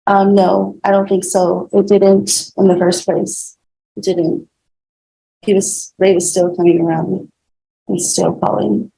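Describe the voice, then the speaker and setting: Witness in a hearing: woman, creaky and relaxed tone, halting everyday phrasing, slightly noisy courtroom recording. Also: medium pitch. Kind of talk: courtroom testimony.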